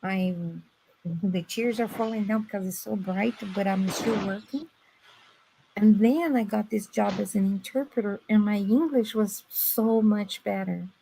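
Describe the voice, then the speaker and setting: A woman's voice: medium pitch, measured pace, gravelly, mellow, slight background noise, rough recording. A woman from Brazil with a strong Brazilian Portuguese accent, a guest telling a personal story on a live stream who often stops and restarts while she searches for words.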